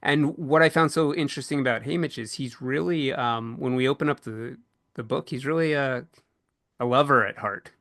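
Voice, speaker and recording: Bright-voiced man hosting a panel discussion. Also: medium pitch, normal speed, no echo, studio quality. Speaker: podcast host and panel moderator.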